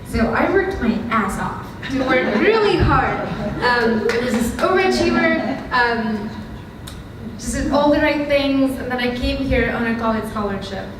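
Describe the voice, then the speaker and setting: A young woman from India tells her own story to an audience in an Indian accent, candid and conversational with plenty of ums. Her voice sits slightly high. It carries from a distance through a very echoey hall with steady background noise. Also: unhurried. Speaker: startup founder giving a talk. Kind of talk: storytelling lightning talk. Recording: very echoey hall from far off, noisy.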